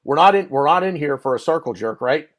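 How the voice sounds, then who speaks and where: Animated man hosting a sports podcast. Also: a little high, brisk. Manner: animated, repeats words when starting sentences. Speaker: podcast host. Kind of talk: casual sports podcast chat.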